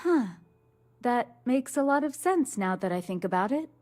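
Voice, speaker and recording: A woman's voice: a little high, lively intonation, moderate pace, a little mellow, slight background noise, close to an everyday voice. An actress playing a polite, professional female receptionist.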